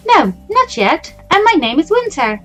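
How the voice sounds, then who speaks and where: A woman's voice: extremely high pitch, big pitch swings, a little slow, a bit bright, voice pushed up, full character voice. A woman voicing an anxious, awkward fourteen-year-old schoolgirl from a visual novel, hesitant and self-doubting. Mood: polite, flustered. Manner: hesitant, stammering, over-thinking. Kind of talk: visual novel character reading. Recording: music behind the voice.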